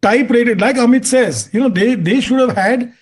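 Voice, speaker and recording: An Indian-accented man comments as an expert on a news panel. His voice is strikingly high for a man, and he argues each point with emphasis. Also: moderate pace, slightly rough. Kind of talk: TV debate commentary.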